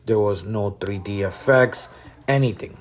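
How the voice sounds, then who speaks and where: A man with a somewhat low voice narrates a review voice-over. He sounds blunt and keeps listing his complaints. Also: unhurried. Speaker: vlogger reviewing a cruise.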